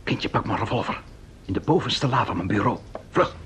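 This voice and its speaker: A man playing a brisk, commanding detective in a Dutch radio play, urgent and authoritative, calm under pressure. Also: on the low side. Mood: tense, controlled. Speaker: radio drama actor. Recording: dull, noisy old radio recording.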